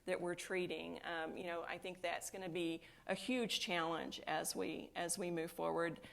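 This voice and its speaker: Low-pitched woman, thoughtful panelist. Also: fairly even intonation, normal speed, creaky. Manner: thoughtful, with many restarts and "you know". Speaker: chief operating officer on a panel. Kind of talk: panel discussion. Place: conference stage.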